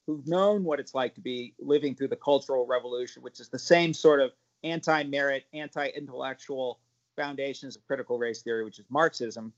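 A man speaking as a parent activist on a panel, halting, with many repeated words and restarts. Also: mid-range voice. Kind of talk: panel discussion remarks. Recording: muffled lo-fi audio.

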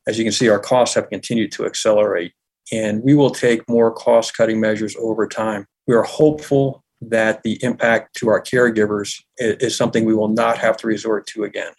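A man's voice: on the low side, steady tone, brisk, darkish tone, slightly rough, rough recording. A man giving a formal spoken statement as a chief executive. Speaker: health system chief executive.

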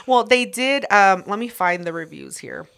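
A woman co-hosting a podcast with a bright, forward voice. She chats and teases her partner. Her delivery is relaxed and conversational. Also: mid-range voice, normal speed, vocal fry. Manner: teasing, chatty. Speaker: podcast co-host. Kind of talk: casual podcast banter.

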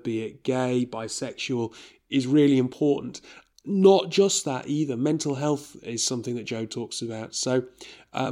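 A man's voice: medium pitch, darkish tone. A man in his thirties with a North East English accent, narrating as a podcast host and recapping an event in an earnest, reflective way. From North East England. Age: 30s.

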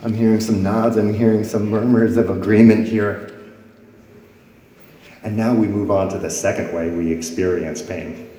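Man, pastor, sermon, dramatic scripture reading, lowish voice, echoey church hall, faint ambience. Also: steady tone, normal speed, dark tone, a little hiss or hum. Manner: dramatic reading of scripture, rising and dropping his voice. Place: church sanctuary before a congregation. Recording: echoey hall with long reverb.

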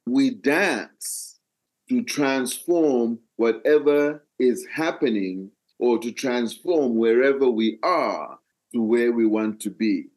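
An older man with a Malawian accent, telling his own story as an interview guest in a deliberate, phrase-by-phrase way. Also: mid-range voice, animated, a little mellow. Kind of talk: reflective personal storytelling in an interview.

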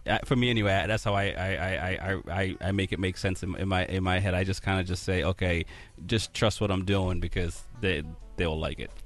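A man's voice: sits low, fairly even intonation, a little fast, faint music behind. A man hosting a casual interview, stammering and repeating words as he asks questions and laughs often. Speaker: host.

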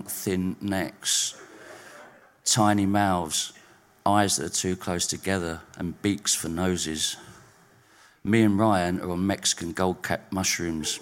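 A man reading his overheard-conversation stories at a live spoken-word night in a pub, wry and deadpan. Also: sits low. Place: pub venue stage.

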